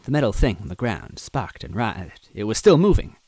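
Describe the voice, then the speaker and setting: A man reading a story aloud as narrator, expressive and adding little asides to the listener. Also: fairly low pitch, moderate pace, no echo. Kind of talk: reading fan fiction aloud.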